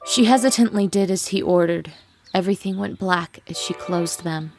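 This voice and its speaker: Low-voiced woman narrating a dramatic story. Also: moderate pace, darkish tone. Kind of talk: scripted dramatic fan fiction narration. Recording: music bed with birdsong behind.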